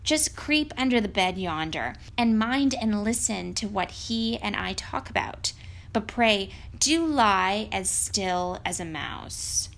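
Woman voicing a gentle, worried young princess. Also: mid-range voice, animated, takes it slowly, vocal fry, full character voice, rough recording. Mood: scheming.

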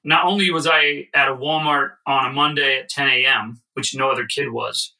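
Midwest guy chatting on a podcast, super bright voice, sounds kinda far from the mic. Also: medium pitch, fairly even intonation, normal speed, gravelly. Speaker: podcast co-host. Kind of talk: casual podcast chat.

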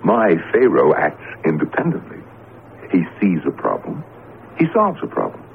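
Male professor, radio drama: excitable, anxious, low with creaky edges, noisy broadcast. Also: normal speed, phone-quality sound, full character voice. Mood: incredulous. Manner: hesitant, exclaiming, animated. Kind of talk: 1970s radio drama acting.

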